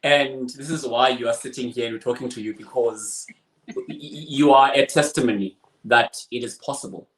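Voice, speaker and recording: A man hosting an interview, asking measured, encouraging questions with pauses. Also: medium pitch, steady tone, a bit bright, roomy sound, poor audio. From South Africa. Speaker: interview host. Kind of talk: conversational interview.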